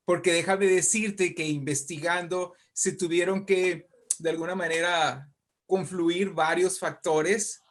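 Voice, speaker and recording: A man's voice: a little high, fairly bright tone. A man with a Mexican accent speaking conversationally as an interview guest, explaining in an emphatic, discursive way and often checking in with the listener. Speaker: invited guest, writer and poet.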